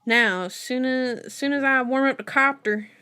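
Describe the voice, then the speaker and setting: A woman voicing an excited, cocky man with a boisterous Southern US drawl, from a novel read aloud. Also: sits high, measured pace, clean tone, faint music behind, voice pushed up. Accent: Southern US drawl, put on. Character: excited cocky young man, drawling Alabama-twanged team pilot.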